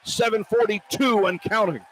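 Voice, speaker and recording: A man doing live radio basketball play-by-play, describing the action in short, clipped phrases that build toward excited calls. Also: high-pitched, moderate pace, slight background noise, poor audio. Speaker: play-by-play announcer. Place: broadcast position at an indoor arena.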